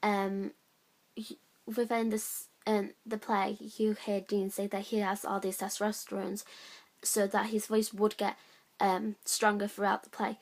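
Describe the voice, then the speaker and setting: A young woman, a drama student, reflecting on her audition in a halting way with many fillers. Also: little pitch movement. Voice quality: high-pitched voice, by her own account. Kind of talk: reflective spoken account.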